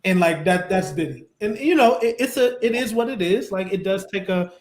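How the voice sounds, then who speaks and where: A man's voice: high-pitched, animated, normal speed. A man co-hosting a web interview show, easygoing and affirming as he asks questions and agrees with his guest. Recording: echoey room sound.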